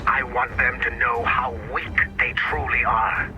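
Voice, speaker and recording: A man playing a cold, ruthless, imperious Templar master in a video game, speaking in a measured, formal way. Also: mid-range voice, level tone, highs cut off, very noisy, natural voice. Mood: cold menace.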